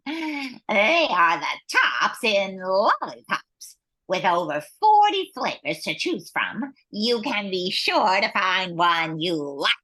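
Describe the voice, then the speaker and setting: A bossy munchkin narrator, played by a woman. Her voice is bright but husky, swinging dramatically in pitch as she delivers the radio play. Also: very animated, slow pace, full character voice. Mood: promotional. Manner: theatrical, scolding.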